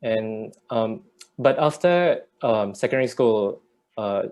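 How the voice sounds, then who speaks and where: A man from Singapore speaks casually about his start in the field, dropping "like" often. His voice is a little lower than usual. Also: animated, slow, a little mellow. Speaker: startup co-founder guest.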